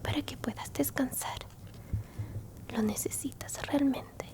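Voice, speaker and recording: A woman voicing a gentle alien grandmother in a soothing, tender, unhurried whisper for an ASMR roleplay. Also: high voice. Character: gentle alien grandmother comforting a lost traveler.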